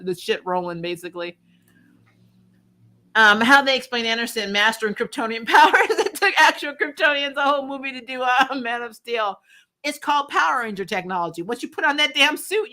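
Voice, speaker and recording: Bright, forceful woman chatting casually. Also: medium pitch. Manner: animated, blunt, sweary, jokey. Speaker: show host reviewing superhero TV and reading live comments. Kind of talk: casual livestream chat, rambling fan commentary.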